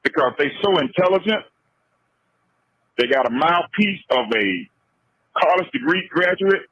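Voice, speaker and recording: Male phone caller, rough husky voice, very lively intonation, casual and emphatic with repeated phrases, dull line. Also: fairly high pitch, measured pace. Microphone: telephone. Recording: dull, lo-fi jail phone call.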